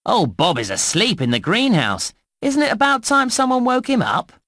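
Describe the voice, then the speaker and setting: Chirpy British park guide for kids' game narration: very animated, encouraging, crisply enunciated, dry studio sound. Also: male voice, sits high, normal speed, no room sound, full character voice. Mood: upbeat, instructive. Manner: bouncy, clearly enunciated, encouraging. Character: cheerful, eager male theme park guide in a children's game. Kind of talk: scripted children's game narration.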